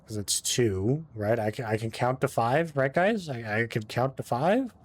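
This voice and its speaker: A man's voice: medium pitch, expressive, unhurried. Rambling man narrating his gameplay. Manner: rambling, talks to himself, self-mocking; trails into mumbling and humming while playing. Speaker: gaming channel host. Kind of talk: casual gameplay commentary.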